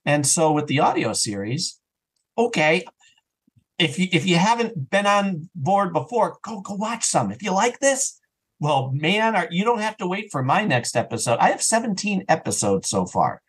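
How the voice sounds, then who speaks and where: A man talking as a podcast guest in a casual, enthusiastic and animated way, slipping into imagined remarks as an audio drama creator. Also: high-pitched, normal speed, husky. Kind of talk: casual enthusiastic interview chat.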